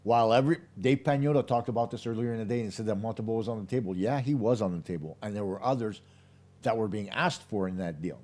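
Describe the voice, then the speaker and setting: A man hosting a live post-game hockey show, his voice hoarse, talking in an emphatic, opinionated way straight to his viewers. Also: on the low side, quick pace, darkish tone. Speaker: hockey podcast host.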